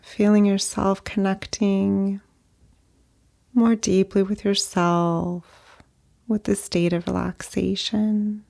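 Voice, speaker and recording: Slow, creaky-voiced woman guiding meditation. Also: mid-range voice, flat delivery. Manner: gentle, unhurried, with long pauses between short phrases. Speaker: meditation guide, therapist. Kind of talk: guided meditation, slow and soothing narration.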